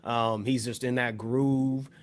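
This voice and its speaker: An American man on a casual hip hop panel, talking in wordy, measured, rather formal phrases. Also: medium pitch, little pitch movement. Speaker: talk show panelist.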